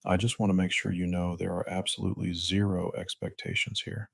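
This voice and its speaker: An actor as a gravelly, low-voiced protective romantic hero. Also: male voice, flat delivery, no room sound, clean studio sound, full character voice. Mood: sincere. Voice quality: husky, gravelly. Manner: earnest, reassuring, measured. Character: protective, chivalrous romantic hero, a wealthy finance man. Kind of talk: romance audio drama dialogue.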